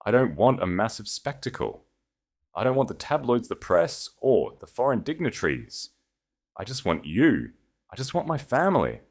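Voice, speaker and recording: A man's voice: mid-range voice, very animated, moderate pace, voice pushed up. A man voicing a composed, resolute woman, a duchess, in a solemn and declarative manner for a romantic revenge story. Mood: tender.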